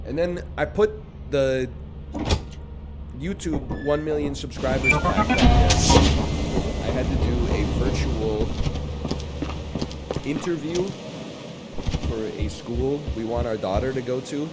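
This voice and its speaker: A hoarse man in his thirties chatting casually and joking while streaming a game. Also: medium pitch, takes it slowly, pauses often, over loud music. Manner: rambling, joking asides. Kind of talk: casual chat while gaming.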